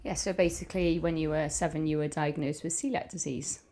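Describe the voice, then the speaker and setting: A middle-aged woman from Cardiff with a Welsh accent, a guest telling family stories in a measured, steady way. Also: sits low. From Cardiff, Wales. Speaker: guest, primary school teacher. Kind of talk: casual family interview.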